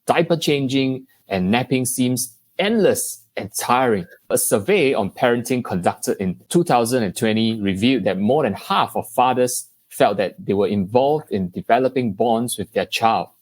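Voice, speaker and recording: A man hosting a podcast, reading a scripted introduction in a measured, polished way. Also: mid-range voice, lively intonation. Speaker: podcast host.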